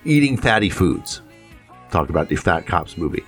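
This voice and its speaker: Talk radio host: man with a deep register, opinionated delivery, leans on rhetorical questions. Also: sing-song, normal speed, soft background music.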